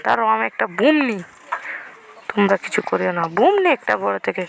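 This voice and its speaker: A woman's voice: mid-range voice, expressive, fairly quick, creaky, noisy background. A woman giving casual game commentary for small children, in a childlike, repetitive, exclaiming patter. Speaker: gameplay video host.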